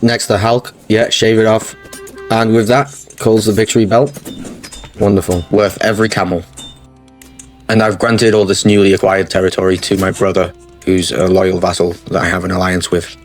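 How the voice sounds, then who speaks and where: A man talks through a strategy game campaign in a low, level voice. His tone stays steady and matter-of-fact as he explains each choice, with soft music playing under him. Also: moderate pace. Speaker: gaming channel host. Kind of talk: strategy game playthrough commentary. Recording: faint music bed under the voice.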